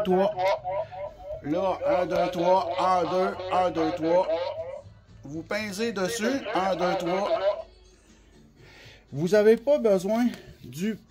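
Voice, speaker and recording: A man with a Québécois French accent and a touch of flu, giving an informal, colloquial, step-by-step product explanation and often repeating himself. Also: high voice. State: has the flu, coughing now and then. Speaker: CB radio specialist giving a product demonstration. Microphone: phone held by the speaker.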